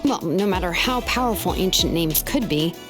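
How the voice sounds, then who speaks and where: Actress doing a chill young craftswoman from a game, matter of fact but lively intonation, faint music behind. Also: on the low side, moderate pace, natural voice. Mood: calm, explaining. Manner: explains at length, matter-of-fact. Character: laid-back young female artisan, thoughtful, a little weary. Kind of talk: video game character dialogue.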